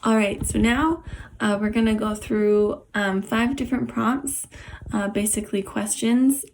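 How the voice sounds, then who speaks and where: A young woman with a raspy, hoarse voice and a cough, guiding an online art therapy lesson at home in a relaxed way with many pauses. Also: medium pitch, a little hiss or hum, poor audio. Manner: relaxed, many ums, gentle instructions.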